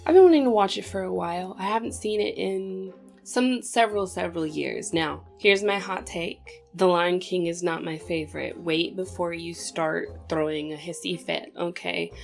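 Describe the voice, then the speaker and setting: Woman, low voice, gravelly, sniffly from a cold, chatty opinionated movie commentary, faint music behind. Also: normal speed, hardly any pauses, darkish tone. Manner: chatty, opinionated, talks straight to viewers. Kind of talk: casual reaction commentary.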